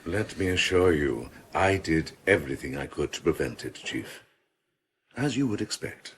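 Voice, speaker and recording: A man playing a smug senior police officer in a radio crime drama, gravelly, florid and sardonic. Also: sits low, animated, normal speed, a bit bright, far from the mic, a little hiss or hum. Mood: smoothly insincere.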